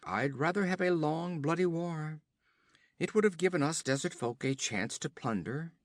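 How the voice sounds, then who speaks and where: A man voicing a hard, boastful outlaw chief who gives orders in a raised, loud voice. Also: on the high side, moderate pace, dry recording, studio quality, pitched above the performer's own voice, natural voice. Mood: swaggering. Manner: boastful, commanding.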